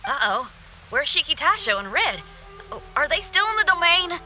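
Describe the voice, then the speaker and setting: A woman's voice: very high-pitched, sing-song, moderate pace. A young woman voicing a blunt, protective, cautious heroine in a video game, casual and direct. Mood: worried. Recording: music behind the voice.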